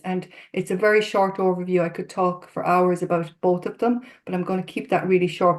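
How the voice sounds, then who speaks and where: A middle-aged Irish woman, a therapist, giving an earnest, openly personal talk to an online recovery group over a video call. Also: medium pitch. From Ireland. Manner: earnest, open and self-disclosing. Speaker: therapist and guest speaker.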